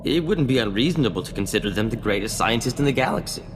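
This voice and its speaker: Flamboyant, vain scientist played by a man, swooping pitch. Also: lively intonation, quick pace, big-room sound, background noise, lightly acted. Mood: proud, grand. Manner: grandiose, florid, courtly phrasing. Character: flamboyant, vain, charming male scientist and self-styled genius. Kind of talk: scripted video game acting. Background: music.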